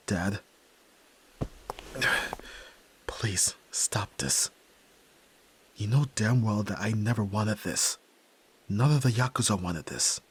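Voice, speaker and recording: A man plays a sensitive young nerd, heir to a crime family, in an audio roleplay. His voice is deep, earnest and emotional, often dropping to a breathy hush, with some ambient noise behind it. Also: takes it slowly. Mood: pleading. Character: Yakuza boss's young son, sensitive, earnest, resolute nerd. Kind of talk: ASMR roleplay acting. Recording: clear background noise and ambience.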